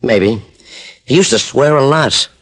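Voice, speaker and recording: A man's voice: medium pitch, unhurried, a bit bright, slightly rough. An actor playing a smug, sarcastic male nightclub owner in a 1950s radio drama. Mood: sneering. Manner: sneering, wisecracking. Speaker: club owner.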